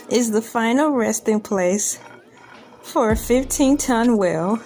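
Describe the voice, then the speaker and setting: A woman's voice: fairly high pitch, darkish tone. A playful, easily amused woman hosting an online video, reading a news article aloud and commenting on it casually. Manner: playful, giggly, easily amused by what she reads.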